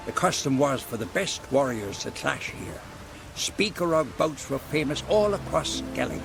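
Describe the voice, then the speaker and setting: A man's voice: mid-range voice, very animated, normal speed, vocal fry, background noise. An actor playing a proud, wary male villager in a video game who talks colloquially, like a practiced storyteller. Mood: relishing the tale. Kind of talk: video game cutscene acting.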